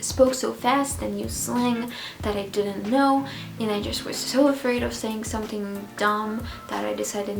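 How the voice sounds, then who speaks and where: A woman's voice: medium pitch, gravelly. A woman in her twenties from Poland, a native Polish speaker with a Polish accent, chatting casually and a little hesitantly to camera as a vlogger. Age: 20s. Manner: hesitant, slightly nervous. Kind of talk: casual sit-down chat to camera. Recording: roomy indoor echo.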